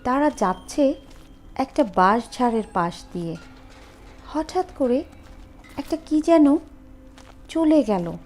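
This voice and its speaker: A woman narrates a scripted horror story dramatically, with suspenseful, deliberate phrasing and pauses. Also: high voice, animated, a little mellow, soft background music.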